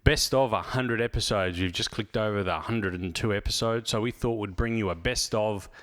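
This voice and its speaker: Relaxed Australian man hosting a podcast. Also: on the low side, moderate pace. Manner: relaxed, rambling with fillers and restarts. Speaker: podcast host. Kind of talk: casual podcast chat. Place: small home office.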